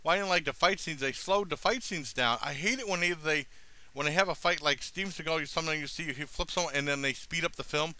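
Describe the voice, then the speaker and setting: A man's voice: on the high side, fast talker, sharp tone, no room sound. A middle-aged American man giving a casual, rambling review in a laid-back, plain-spoken way, recorded on a headset at home. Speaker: product reviewer, vlogger. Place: small room at home.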